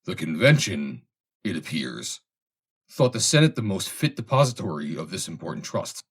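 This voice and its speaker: A man reading a formal historical essay aloud in a measured, deliberate way. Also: sits low, slightly rough. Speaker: reader, narrator.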